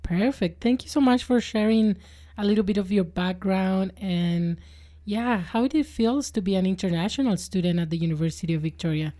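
A woman's voice: medium pitch, normal speed, dark tone, dry recording, studio quality. A young adult woman hosting and producing a podcast, reading a careful introduction and then friendly questions to her guest.

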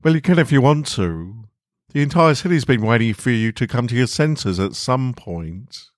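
A man's voice: medium pitch, lively intonation, moderate pace, dry recording, studio quality, voice pushed up, close to an everyday voice. A man voicing a blunt working man in a story, insistent and exhorting as he pushes someone toward freedom. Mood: exasperated. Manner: emphatic, exhorting.

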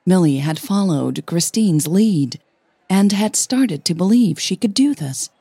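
A woman narrating scripted audio fiction in a measured storytelling manner, phrase by phrase. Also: lowish voice, animated, mellow, breathy edge. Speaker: podcast host and narrator.

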